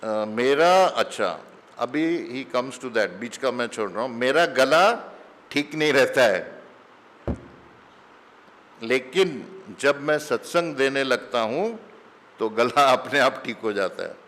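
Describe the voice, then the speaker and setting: Spiritual teacher, man, middle-aged, unhurried storytelling sermon, mid-pitched, long hall echo. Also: expressive, fairly bright tone. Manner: slow, mixes English phrases into Hindi. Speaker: spiritual teacher giving satsang. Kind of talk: conversational sermon with anecdotes.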